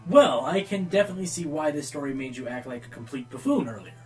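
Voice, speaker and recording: A man's voice: fairly high pitch, fast talker, a little mellow, faint music behind, lightly acted. A man playing a wry, easily exasperated pony radio host in a radio play. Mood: wry, presenting. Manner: wry, dry teasing. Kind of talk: radio play acting as presenter.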